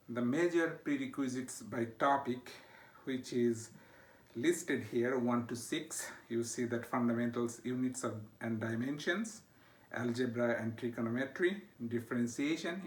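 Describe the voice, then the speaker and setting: Middle-aged Bangladeshi-accented instructor, roomy sound. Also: male voice, medium pitch. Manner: frequent uh fillers and checking okay. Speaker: university instructor. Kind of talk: recorded course introduction. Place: home study with bookshelves. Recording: echoey room.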